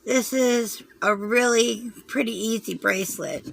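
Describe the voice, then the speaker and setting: A hoarse woman with a Southern US accent, rambling through a craft tutorial and often clearing her throat as she fights a cold. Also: a little high, unhurried, fairly bright tone, a little hiss or hum, rough recording. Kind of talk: chatty loom tutorial.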